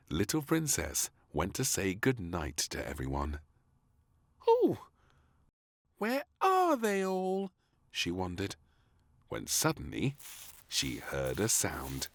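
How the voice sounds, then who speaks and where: A man narrating a scripted children's story in an expressive, theatrical manner. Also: low-pitched, no echo.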